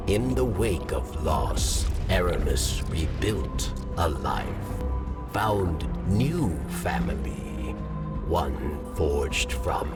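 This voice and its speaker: A man acting a sorrowful alien elder in a video game, telling of his people's fall in a grave, halting storyteller's manner. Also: extremely low pitch, full character voice. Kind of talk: scripted video game narration. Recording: distant voice under loud music.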